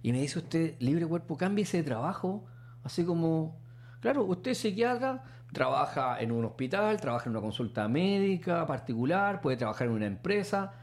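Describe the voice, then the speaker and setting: A middle-aged man with a Chilean accent, a radio host chatting casually and telling a personal story. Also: normal speed. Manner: storytelling, acts out quotes with animated pitch jumps.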